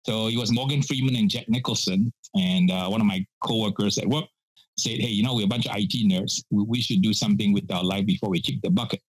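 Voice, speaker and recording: Man in his 60s from malaysia, lowish voice, rambly with lots of uhs, dry sound. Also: fast, dry recording, low-quality recording. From Malaysia (Penang). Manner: chatty storyteller, many "uh" fillers, laughs often. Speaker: pitmaster guest. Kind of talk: casual podcast interview.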